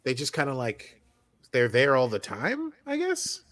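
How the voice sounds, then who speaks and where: A man's voice: medium pitch, wildly swooping pitch, a little slow, fairly bright tone. A man co-hosting a casual TV recap podcast, talking in a rambling, conversational way full of loose asides. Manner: rambling, conversational, hedging with like and whatever. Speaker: podcast co-host. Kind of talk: casual TV episode recap chat.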